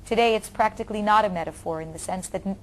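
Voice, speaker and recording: A woman of middling pitch answers an interview question, stumbling and restarting her words again and again. A faint hiss runs beneath her voice. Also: fast. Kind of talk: interview commentary.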